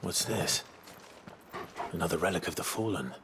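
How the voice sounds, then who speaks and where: An actor playing a brooding warrior lord in a fantasy video game, speaking gravely and with restraint. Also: male voice, low voice, expressive, moderate pace. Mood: grim. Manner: grave, understated. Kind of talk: dramatic video game dialogue. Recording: background noise with outdoor ambience.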